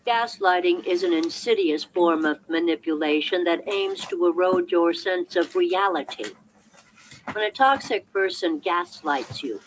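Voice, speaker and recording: A woman reading a self-help audiobook in a measured, clearly articulated and emphatic way. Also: lowish voice, a little hiss or hum, poor audio. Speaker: audiobook narrator.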